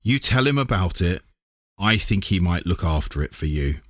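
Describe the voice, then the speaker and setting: A man's voice: sits low, animated, moderate pace, a bit bright, no room sound, studio quality. Man reading as a caring, practical aunt. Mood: helpful.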